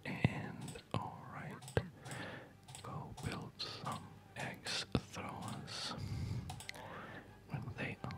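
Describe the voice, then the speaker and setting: A person whispering slow, breathy ASMR commentary over a video game, musing playfully and hesitantly.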